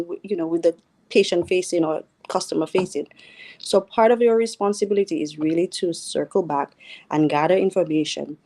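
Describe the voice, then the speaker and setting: A woman's voice: on the low side, dark tone. A woman with a Dominican accent, a healthcare worker, speaking earnestly as a guest on a radio talk show and checking in with "right?". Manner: earnest, explains with repeated "right?".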